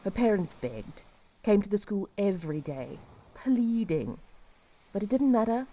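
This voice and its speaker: Mellow, despairing woman confessing old guilt acted. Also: mid-range voice, very animated, unhurried, rough recording. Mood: sorrowful, guilty. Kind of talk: dramatic audio fiction acting.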